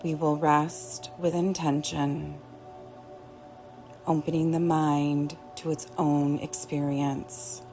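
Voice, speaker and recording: A woman teaching yoga nidra, guiding relaxation in a gentle, soothing way with long pauses. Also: deep voice, level tone. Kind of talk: guided relaxation meditation. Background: soft background music.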